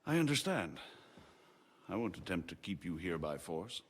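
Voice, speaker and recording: An actor playing a shrewd, haughty margrave who speaks in formal, measured, eloquent phrases in a video game drama. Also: male voice, sits low, sing-song, a little hiss or hum. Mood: stern warning. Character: shrewd, haughty noble margrave, smooth political schemer.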